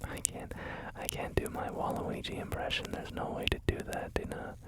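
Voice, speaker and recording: A man whispering through a relaxed ASMR gaming video, rambling and joking about himself with many fillers. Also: normal speed. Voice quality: soft unvoiced whisper. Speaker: ASMR creator.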